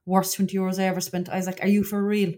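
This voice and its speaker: A woman's voice: medium pitch, flat delivery, racing, dark tone. An Irish woman with a Cork accent co-hosting a podcast in a casual, gossipy, confiding way. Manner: chatty, colloquial, confiding. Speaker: podcast co-host.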